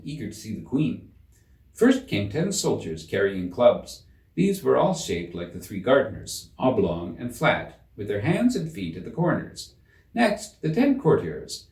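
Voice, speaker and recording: A man giving a measured, theatrical reading of a children's story in an echoing room. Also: medium pitch, darkish tone, far from the mic. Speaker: audiobook narrator. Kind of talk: expressive scripted storybook narration. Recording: roomy echo, slightly off the mic.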